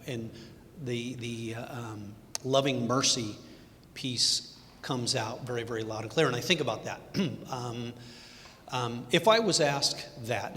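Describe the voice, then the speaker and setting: A middle-aged man, a bank executive, talking candidly on a panel, halting with many restarts and fillers. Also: a bit bright. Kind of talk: candid personal reflection on a panel. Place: event hall. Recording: echoey hall, faint rumble.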